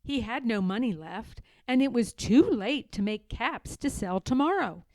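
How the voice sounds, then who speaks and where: A woman reading a children's story aloud in a dramatic, deliberate way, stressing key words. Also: on the high side, animated, a little mellow, no room sound. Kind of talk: children's read-aloud narration.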